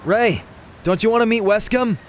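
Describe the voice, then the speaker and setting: A man acting in an audio drama as a young prince and affectionate brother, casual and relaxed. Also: high voice, normal speed, slight background noise, lightly acted. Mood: warm, casual. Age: young adult.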